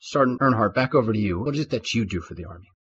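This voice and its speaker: Husky guy hosting a podcast, talks fast, formal and praising, kinda muffled audio. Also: lowish voice, animated, a bit bright. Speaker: podcast host. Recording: dull lo-fi sound.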